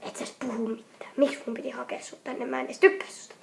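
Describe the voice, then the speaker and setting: A woman's voice: high voice, fairly even intonation, gravelly, noisy background. A woman voicing a grumpy, impatient teenage boy in a hobby anime comedy dub, grumbling and blunt. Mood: irritated, grumbling.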